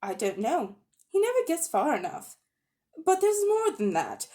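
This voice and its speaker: A woman's voice: high-pitched, wildly swooping pitch, a little slow, mellow, voice pushed up, exaggerated voice. Woman doing an upset, wound-up young boy. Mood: secretive. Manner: upset, wound up, near tears. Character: miserable, worked-up young boy.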